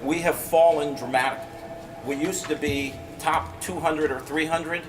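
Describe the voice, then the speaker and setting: Parent at public comment, emphatic, distant stand mic in an echoey room. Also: male voice, a little high, steady tone, normal speed. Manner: emphatic, keeps asking "right?". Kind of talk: public comment at a school board meeting. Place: large school meeting room. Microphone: stand mic a few feet away. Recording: roomy, distant, noisy room sound.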